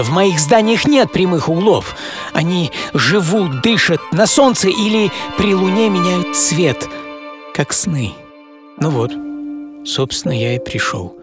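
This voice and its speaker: Dreamy eccentric old architect, over music. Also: male voice, a little high. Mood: proud, emphatic, then hushed, reverent. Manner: theatrical, pausing, swinging from hushed to emphatic. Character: eccentric, dreamy architect in his seventies, intense and devout. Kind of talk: dramatic radio monologue. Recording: studio voice over prominent music.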